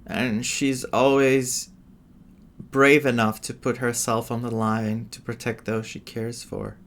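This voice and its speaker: A woman's voice: very deep voice, little pitch movement, slow pace, a little hiss or hum. A woman giving casual commentary as a game streamer playing through a story. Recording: faint game music behind.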